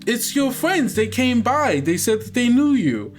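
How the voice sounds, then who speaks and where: A man's voice: very high voice, sing-song, measured pace, voice pushed up, heavily acted. A man voicing an innocent, trusting little girl in a childlike, pleading way, in a tabletop game. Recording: guitar music under the voice.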